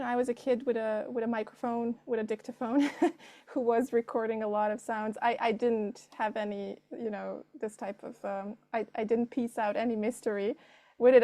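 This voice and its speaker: Higher-voiced woman, very even melody. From Serbia. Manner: conversational, thinking aloud. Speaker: filmmaker being interviewed. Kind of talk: casual interview answers.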